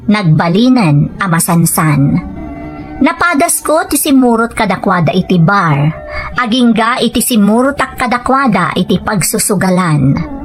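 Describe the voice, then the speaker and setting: A woman plays a gentle, dutiful wife in an audio drama. Her voice is high, modest and a little hesitant, with faint music behind it. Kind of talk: Ilocano audio drama acting and narration.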